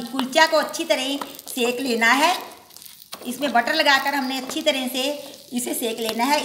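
A woman hosts a cooking demonstration in her home kitchen, talking the viewer through each step in a chatty, homely way. Her voice is high and bright. The room is echoey, with a faint sizzle from the griddle behind her. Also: slight background noise. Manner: homely step-by-step explaining with filler "ji". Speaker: cooking show host.

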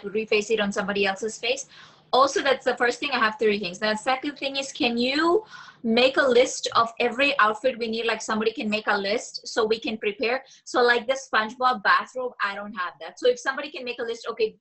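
A young woman speaks in a bright, fairly high voice on a video call. Her delivery is casual and emphatic, with key points repeated. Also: rough recording. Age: young adult. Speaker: actor at a table read. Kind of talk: casual meeting talk. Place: indoor room, on a video call.

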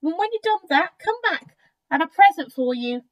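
A woman's voice: extremely high pitch, lively intonation, moderate pace, far from the mic, pitched above the performer's own voice. A woman voicing a big, selfish male crocodile in a children's storybook read aloud. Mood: relieved, excited.

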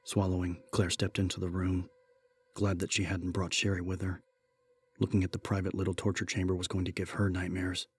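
Low, very monotone male audiobook narrator. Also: fairly quick, husky. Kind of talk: scripted horror story narration.

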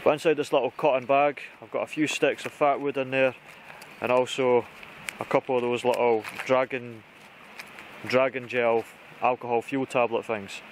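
A man's voice: medium pitch, steady tone, normal speed. British man chatting casually outdoors, noisy background. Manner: informal, chatty. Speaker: outdoor vlogger. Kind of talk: casual gear walkthrough to camera. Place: outdoors in conifer woodland. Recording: outdoor recording with clear background noise.

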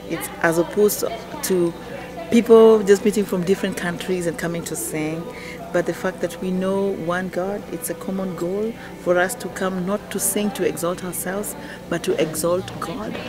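A woman's voice: lowish voice, fairly even intonation, moderate pace. A middle-aged woman with a Kenyan accent giving an emphatic on-camera testimonial. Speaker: music teacher and worship singer. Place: large church auditorium. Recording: music playing behind the voice. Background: music.

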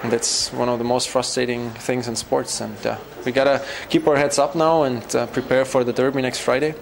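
A young man, a team captain, giving a halting post-game interview in an arena corridor. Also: medium pitch, fairly even intonation, vocal fry, rough recording. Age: young adult. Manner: halting, repeating words as he searches. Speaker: ice hockey team captain. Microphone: handheld interview mic.

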